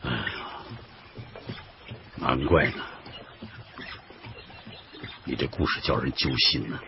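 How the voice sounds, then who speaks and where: An actor playing a curious, genial old man in a radio drama, folksy and inquisitive with drawn-out phrases. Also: very deep voice, creaky, background noise, exaggerated voice. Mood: curious, doubtful. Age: older. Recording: muffled lo-fi broadcast with music behind.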